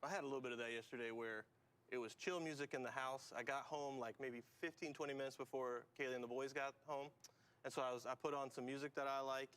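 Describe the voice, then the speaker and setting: A man's voice: medium pitch, fairly even intonation, fast, a bit bright, smooth. A man co-hosting a morning encouragement show, chatting casually and riffing on jokes with his partner. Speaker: co-host. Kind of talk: casual chat.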